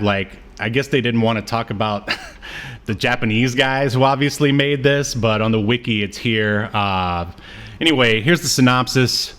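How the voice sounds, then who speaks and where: A man hosts a casual podcast with a mid-pitched voice. He talks in a conversational, teasing way, joking as he reviews old media. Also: fairly bright tone. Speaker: podcast host.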